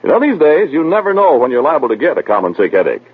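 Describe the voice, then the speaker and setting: Fast, husky male radio announcer. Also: fairly high pitch, big pitch swings. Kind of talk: 1940s radio commercial announcing. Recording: tinny, narrow-band old radio recording.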